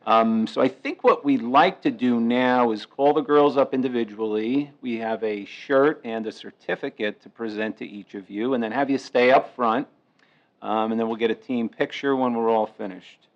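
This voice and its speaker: A middle-aged man with a loud, upbeat voice talks chattily as he hands out team awards at a township meeting. His tone stays mid-pitched and friendly. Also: normal speed, highs cut off. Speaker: committeeman and recreation director. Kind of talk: award presentation remarks.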